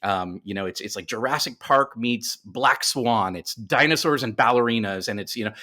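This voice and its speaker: A man speaking as a writer guest in a casual interview, explaining his creative process in a halting way with repeated self-corrections. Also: mid-range voice, pushed and bright. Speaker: podcast guest, writer of comics and screenplays.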